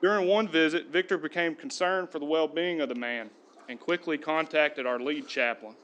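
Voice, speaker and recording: A man, a police sergeant, giving a steady, earnest prepared speech at a podium in a council chamber. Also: a little high, moderate pace, highs cut off. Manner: steady, earnest reading of a tribute.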